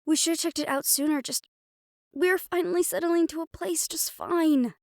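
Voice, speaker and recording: A woman playing a calm, protective householder who befriends ghosts, gently firm and reassuring, in an audio roleplay drama. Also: very high-pitched, normal speed, no echo, studio quality. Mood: apologetic.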